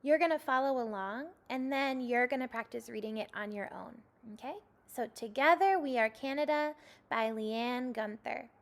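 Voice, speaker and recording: A woman's voice: fairly high pitch, normal speed. A young woman teaching second graders a recorded reading lesson, giving patient step-by-step instructions.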